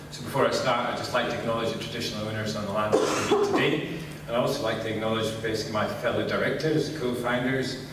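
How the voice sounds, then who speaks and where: A middle-aged man from Scotland with a Scottish accent, giving a relaxed conference talk and saying "kind of" constantly. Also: lowish voice, background noise. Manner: relaxed, filler-heavy, says "kind of" constantly. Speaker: conference speaker. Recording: echoey hall, distant mic.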